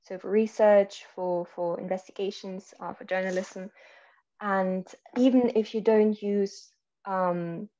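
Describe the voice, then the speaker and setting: Lady explaining stuff on a call, soft warm voice, bit muffled. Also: mid-range voice, steady tone. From Austria. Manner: measured, explanatory, many ums. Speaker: guest journalist. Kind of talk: panel discussion over video call. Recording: slightly muffled call audio.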